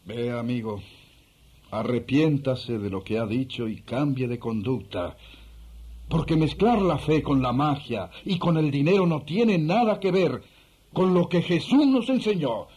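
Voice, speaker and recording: A man's voice: mid-range voice, animated, measured pace, a little mellow, slightly rough. A man acting in a radio drama as Peter, a humble but firm apostle with an earnest, admonishing manner. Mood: indignant, scolding.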